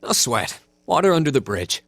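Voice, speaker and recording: An easygoing young male hero, with an American accent. He sounds friendly and modest, with very varied pitch, in a close, dry game recording. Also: big pitch swings, normal speed, a bit bright, dry recording, faint music behind. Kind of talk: video game voice acting.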